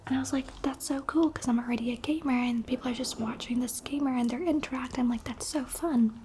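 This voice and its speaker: A woman's voice: high voice, fairly even intonation, fast talker, background noise. Whispering young woman, chatty ASMR. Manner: unvoiced whisper, chatty and candid. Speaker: ASMR creator.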